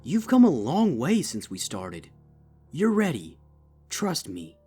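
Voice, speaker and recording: Man playing a young stallion coach, falsely friendly, husky, hugely animated, encouraging, storybook dialogue, music behind. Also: fairly high pitch, slow, exaggerated voice. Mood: reassuring. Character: affable young stallion ski instructor masking cold cruelty. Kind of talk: audiobook-style character dialogue.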